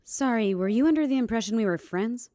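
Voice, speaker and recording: A woman's voice: fairly high pitch, expressive, fast talker, warm tone, no echo, natural voice. Woman playing sarcastic prickly bartender, dry recording.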